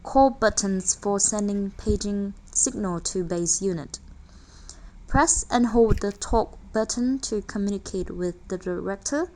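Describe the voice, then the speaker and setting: A woman's voice: mid-range voice, a little slow, a little mellow, poor audio. A woman reading a scripted product overview in a formal manner, listing specifications. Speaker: narrator.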